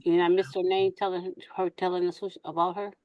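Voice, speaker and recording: A woman's voice: fairly low pitch, little pitch movement, fast, no echo, highs cut off. A woman hosting a casual online group discussion in an informal, colloquial way.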